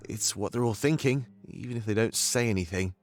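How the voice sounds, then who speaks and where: A man's voice: fairly low pitch, fairly quick, natural voice. An actor playing a grieving, self-reproaching male warrior in a visual novel, sombre and confiding. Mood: ashamed. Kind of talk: dramatic visual novel acting. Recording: background music under the voice.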